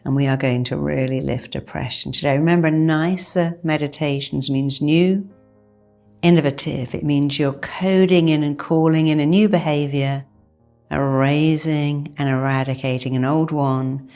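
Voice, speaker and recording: A woman with a low, gravelly voice leads a guided meditation. She takes her time, repeating key phrases with deliberate, reassuring emphasis, like a therapist instructing a client. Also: a little mellow. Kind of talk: guided meditation, soothing hypnotherapy-style instruction.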